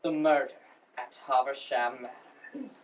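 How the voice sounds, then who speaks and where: A woman's voice: a bass voice, unhurried. A woman playing a pompous, earnest drama society director giving a formal comic welcome speech on stage. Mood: awkwardly earnest. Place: school showcase stage, recorded from the audience. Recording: noisy, distant stage recording with clicks.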